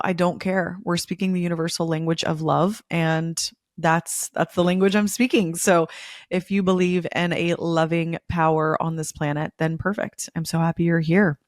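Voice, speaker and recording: A young woman hosting a spiritual podcast, a little hoarse from losing her voice, talking in an effusive, repetitive way. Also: on the low side, dry recording, studio quality. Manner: effusive, repeats words for emphasis. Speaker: podcast host. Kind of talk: casual spiritual podcast monologue.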